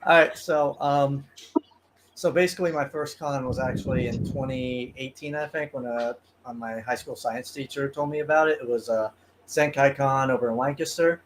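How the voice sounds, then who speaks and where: A man's voice: mid-range voice, normal speed, noisy background. A man in his early twenties chatting on a podcast, hesitant, full of ums and uhs, a bit self-deprecating. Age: 20s. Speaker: guest cosplayer. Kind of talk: casual podcast chat.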